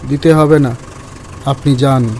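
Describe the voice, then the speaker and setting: Actor as a muted, cryptic taxi driver. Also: male voice, medium pitch, fairly even intonation, slow pace, dark tone, slight background noise, lightly acted. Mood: cryptic, resigned. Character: mysterious middle-aged male taxi driver, a student's father. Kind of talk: Bengali audio ghost-story acting.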